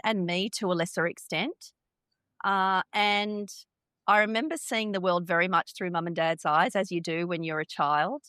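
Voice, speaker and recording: A woman in her fifties from Australia, whose first language is Hindi, tells her life story in an interview in a reflective, measured way. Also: on the low side, fairly bright tone, clear, resonant tone, dry recording. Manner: reflective, measured, with occasional repeated words. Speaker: guest.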